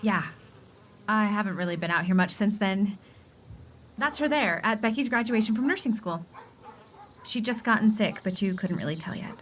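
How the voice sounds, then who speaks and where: An actress playing a stubborn, warm single woman lawyer in a romantic comedy radio play. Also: mid-range voice, animated, moderate pace, long pauses, a little hiss or hum, close to an everyday voice. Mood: wistful. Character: warm, stubborn single woman lawyer who loves old-fashioned photography.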